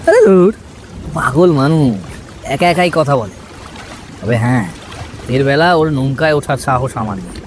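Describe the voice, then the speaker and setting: A man's voice: medium pitch, expressive, moderate pace, pauses often, close to an everyday voice. A man playing a dismissive, gossipy village man in an audio story, with colloquial, opinionated talk. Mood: scoffing. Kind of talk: audio story dialogue acting. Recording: clear rain and water noise.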